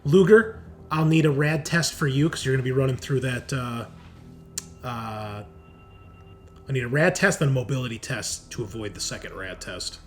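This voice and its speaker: A man's voice: medium pitch, moderate pace, soft background music. A man narrating a tabletop roleplaying game as game master, explaining scenes and rules in a conversational way. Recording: home recording with clear background noise.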